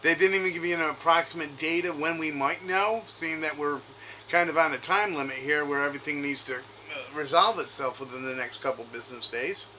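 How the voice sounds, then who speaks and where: Bright-voiced man pressing his point on a call. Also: fairly high pitch, a little fast. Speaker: caller, retail investor. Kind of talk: informal phone conversation. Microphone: makeshift recording device grabbed during call. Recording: faint noise, recorded phone call.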